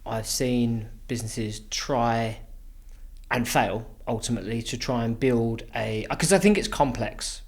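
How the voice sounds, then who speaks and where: A man's voice: mid-range voice, a little slow. A man hosting a podcast and asking questions in a casual, conversational way. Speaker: podcast host, interviewer.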